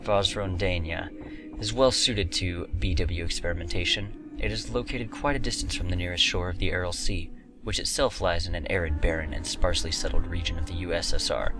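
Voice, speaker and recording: Game music runs behind a very low male voice. He is a gameplay commentator, talking in a casual, informal and explanatory way. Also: steady tone, brisk.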